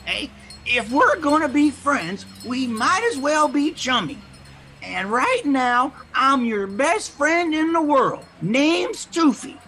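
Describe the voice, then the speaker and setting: A woman's voice: sits high, sing-song, slow pace, fairly bright tone, a little hiss or hum, exaggerated voice. A woman playing a scrappy, chummy, streetwise helper who talks in blunt, folksy slang. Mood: chummy, conspiring.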